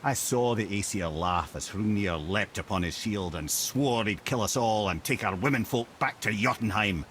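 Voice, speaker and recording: An actor playing a wry, learned old Scottish storyteller in a video game, telling tales theatrically. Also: male voice, fairly low pitch, normal speed, fairly bright tone, a little hiss or hum, close to an everyday voice. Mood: animated, wry.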